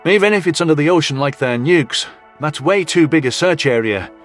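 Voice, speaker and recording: A man playing a gruff, colloquial human commanding officer in a sci-fi audio drama. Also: fairly high pitch, expressive, brisk, soft background music, close to an everyday voice. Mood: impatient. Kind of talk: audio drama character acting.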